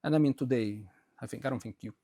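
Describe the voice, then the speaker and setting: A man's voice: medium pitch, fast talker, mellow, breathy edge, dry recording, studio quality. A Russian-born man, a native Russian speaker, answering interview questions about his life in a rambling way with many fillers and restarts. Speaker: podcast guest. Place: Quebec, Canada.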